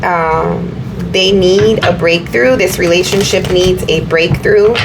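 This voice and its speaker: Slightly hoarse woman reading tarot. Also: on the low side, takes it slowly, noisy background. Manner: meandering, repeats herself, many fillers. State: slightly hoarse, recovering from illness. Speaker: tarot reader. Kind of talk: casual tarot reading to viewers.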